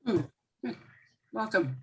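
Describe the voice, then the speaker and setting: A woman's voice: medium pitch, sing-song, takes it slowly, darkish tone, recorded from a distance. A woman moderating a panel, giving brief welcomes.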